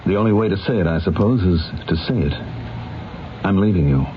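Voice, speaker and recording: An actor playing a weary, reluctant lover in a 1970s radio drama, hesitant and subdued. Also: male voice, low voice, a little fast, natural voice. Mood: resigned. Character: weary, reluctant male lover breaking away. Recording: muffled 1970s radio broadcast, clear hiss.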